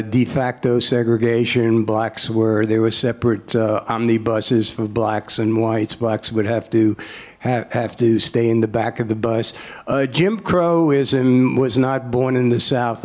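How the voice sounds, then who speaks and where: An older man speaks on a panel with a slow, deliberate delivery. His pitch is middling and he pauses often as he makes his points. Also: fairly even intonation, darkish tone. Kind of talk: public panel discussion. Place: lecture hall, at a panel table.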